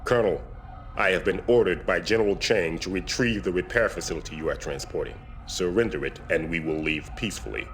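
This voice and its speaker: A man's voice: low-pitched, brisk. A man playing a dutiful young Klingon captain in a video game, speaking formally, firmly and with restraint. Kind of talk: video game cutscene acting.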